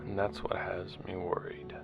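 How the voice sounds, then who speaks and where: A man playing an earnest, weary investigator in a sci-fi audio drama, talking in a halting, conversational way and repeating his words. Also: low-pitched, flat delivery, vocal fry, whispered, muffled recording, over music. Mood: intrigued, uneasy. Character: earnest, weary male investigator chronicling outcasts' histories. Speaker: narrator and interviewer. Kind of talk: audio drama narration and dialogue.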